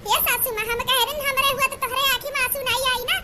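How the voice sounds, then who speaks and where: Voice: very high voice, flat delivery, quick pace, noisy background. A performer voicing a dramatic, scheming village woman in an Awadhi comedy cartoon, theatrical and full of exclamations and complaints. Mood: pleading, boastful. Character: dramatic scheming village women of a squabbling family. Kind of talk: exaggerated comic cartoon dialogue in rural dialect.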